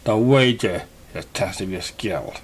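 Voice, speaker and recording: A man's voice: fairly low pitch, expressive, moderate pace, slight background noise, voice pushed down. A man voicing a serene, mysterious male spirit creature, a trickster in a storybook tale.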